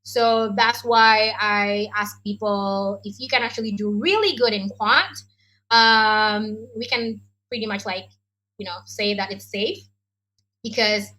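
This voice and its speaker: Bright Indonesian woman, chatty adviser. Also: medium pitch, slow, rough recording. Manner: chatty, many ums and likes. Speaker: education adviser. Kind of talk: online Q&A session.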